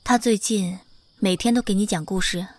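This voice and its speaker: A woman's voice: medium pitch, normal speed, a little mellow, no room sound, lightly acted. A woman playing a composed, mature young mother in an audio drama, reserved and a little strict. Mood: probing. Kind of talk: modern audio drama acting.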